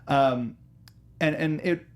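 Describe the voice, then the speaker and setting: A man's voice: a little high, slow pace. Man hosting a casual film discussion. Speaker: talk show host.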